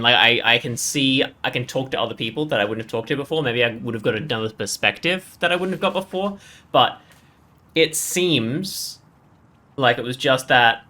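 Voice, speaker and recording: A man's voice: mid-range voice, pushed and bright. A man giving casual, off-the-cuff game commentary as a channel host, rambling as he thinks aloud and often checking in for agreement.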